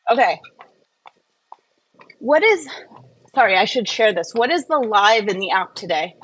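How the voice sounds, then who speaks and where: A woman's voice: medium pitch, animated, unhurried, breathy edge, low-quality recording. A woman hosting a casual live Q&A, talking in an informal, rambling, chatty way. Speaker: live-stream host.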